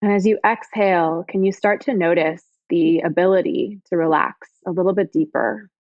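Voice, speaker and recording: Female meditation guide, lower register, soothing and unhurried, very dry and somewhat muffled lo-fi sound. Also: fairly even intonation, no echo. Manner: soothing, gentle, unhurried phrasing with pauses. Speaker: yoga teacher guiding meditation. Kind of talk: guided body scan meditation.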